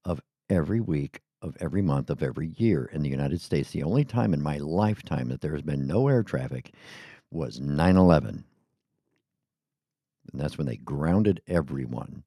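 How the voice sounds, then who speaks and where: A middle-aged man with an extremely deep, gravelly voice talks through a casual, rambling podcast monologue and keeps drifting off topic. His tone is dark and mellow. The sound is dry and close, recorded on a studio mic in a small home studio with sound panels. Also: no echo. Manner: rambling, chatty, goes off on tangents. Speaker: podcast host. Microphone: studio microphone.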